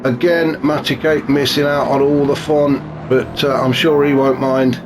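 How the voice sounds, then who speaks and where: A man commentating a sim race in a chatty, wry way, his voice a bit gravelly and snuffly from a cold. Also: mid-range voice, little pitch movement, measured pace. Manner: chatty and wry, many fillers. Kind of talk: live sim racing commentary. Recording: noisy with car engine sounds behind.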